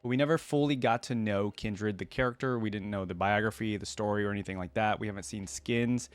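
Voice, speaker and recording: A man's voice: lowish voice, a little fast, no room sound. A man hosting a reaction video, switching between casual commentary and reading aloud.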